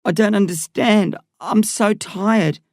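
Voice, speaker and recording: A man voicing an exhausted, frightened thirteen-year-old boy, his voice pitched up, weary and confused. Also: slow, a little mellow, no echo, clean studio sound. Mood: tired scared.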